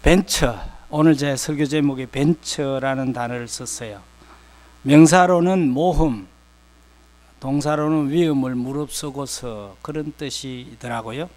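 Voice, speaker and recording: An older man from South Korea preaching a sermon with personal anecdotes, speaking conversationally in short, unhurried phrases. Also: mid-range voice, darkish tone.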